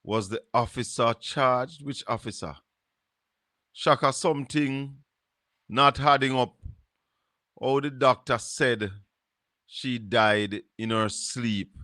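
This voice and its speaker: Jamaican guy on a live stream, talks slow and thoughtful, bright voice, patois here and there. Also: medium pitch, dry recording. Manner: slow, musing, drops into patois. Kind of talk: casual live-stream commentary.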